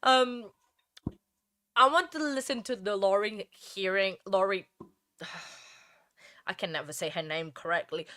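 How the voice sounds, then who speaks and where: A woman's voice: mid-range voice, sharp tone, dry recording, clean studio sound. A woman with a Portuguese accent hosting a true crime livestream, chatting loosely with viewers, full of fillers and restarts. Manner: rambling, chatty, many fillers and restarts, greets chat by name. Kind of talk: casual livestream chat with viewers.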